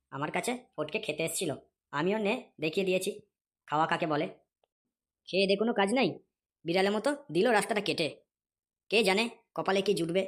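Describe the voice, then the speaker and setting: A woman's voice: on the low side, darkish tone, close to an everyday voice. A woman voicing a stingy young man in a comedy cartoon who frets and grumbles with lots of swearing. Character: stingy young man, fretful and short-tempered. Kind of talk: Bengali comedy cartoon dialogue.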